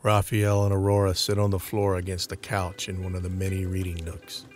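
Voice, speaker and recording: A man's voice: low-pitched, completely flat delivery, a little fast, a little mellow, soft background music. A man narrating an audio drama from a script, describing each scene in the present tense.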